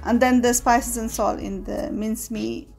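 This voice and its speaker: A woman giving a relaxed, chatty voice-over of her home cooking, with fillers now and then. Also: a little high, moderate pace, creaky, faint music behind. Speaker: cooking vlogger. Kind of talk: casual voice-over narration.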